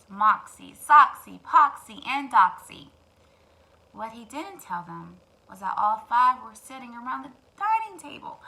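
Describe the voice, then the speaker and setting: A woman narrating a children's story in an animated way, addressing young listeners with wondering questions. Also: high voice, vocal fry, low-quality recording. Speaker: children's storytime host and reader.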